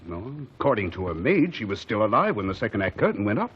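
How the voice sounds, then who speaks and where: A man's voice: lowish voice, very animated, fast talker. An actor playing an earnest male theater producer who speaks persuasively, in 1940s radio acting. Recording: dull old radio broadcast, faint hiss.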